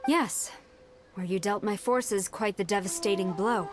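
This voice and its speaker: A woman's voice: mid-range voice, unhurried, soft background music, natural voice. A woman voicing a stern female military commander negotiating a treaty in a video game, formal and uncompromising.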